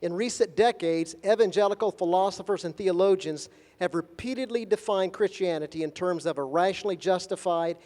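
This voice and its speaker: A man giving a formal conference lecture in measured, deliberate phrases with gentle humor, pausing for an interpreter. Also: high-pitched. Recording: long hall reverb.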